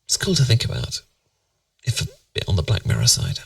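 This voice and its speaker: A British man playing a tender, playful boyfriend in a bedtime ASMR roleplay, musing softly and intimately to soothe his partner to sleep. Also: deep voice, huge pitch swings, brisk, creaky, dry recording, recorded from a distance, heavily acted. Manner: soft, intimate, soothing, musing, occasionally near whisper.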